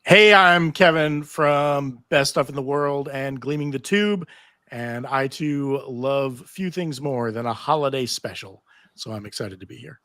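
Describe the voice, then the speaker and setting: A man's voice: medium pitch, a little slow, pushed and bright, no room sound. A man on a casual panel, organizing a table read and reading stage directions aloud as narrator.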